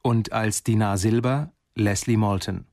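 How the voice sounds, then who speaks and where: A man announcing radio drama credits in a formal, measured, scripted style. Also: fairly low pitch, steady tone, darkish tone, no room sound, studio quality.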